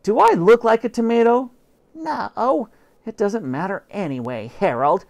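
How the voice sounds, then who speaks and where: Teacher putting on a high-pitched theatrical tomcat, exasperated, melodramatic, storybook read-aloud. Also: expressive, a little slow, a little mellow. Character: melodramatic male house cat posing as a vampire.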